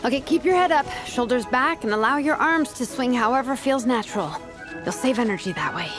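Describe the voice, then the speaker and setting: A woman's voice: sits high, lively intonation, a little fast, over music. A woman playing a confident, easygoing female vice captain in a video game, coaching in a friendly, instructive way. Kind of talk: video game cutscene voice acting.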